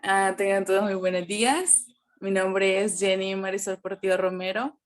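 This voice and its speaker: A woman's voice: mid-range voice, fairly even intonation, normal speed. A woman, introducing herself casually and a bit hesitantly on a video call. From El Salvador. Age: 20s. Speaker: workshop participant.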